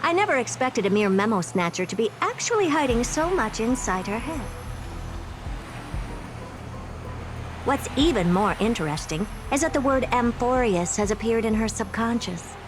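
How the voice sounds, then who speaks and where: An actress voicing a haughty, playful genius researcher in a video game, with a condescending, commanding and theatrical manner. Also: female voice, fairly high pitch, big pitch swings, brisk, few pauses, background noise. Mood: amused, haughty.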